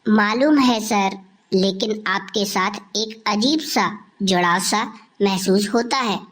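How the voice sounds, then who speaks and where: A woman's voice: medium pitch, takes it slowly, a bit bright. A woman playing an earnest, lovestruck teenage schoolgirl in scripted cartoon dialogue. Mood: admiring.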